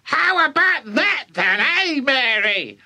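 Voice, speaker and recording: A man voicing a boastful, gleeful old grandmother from a children's story, shrill and cackling, with drawn-out crowing shouts. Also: big pitch swings, no room sound, exaggerated voice.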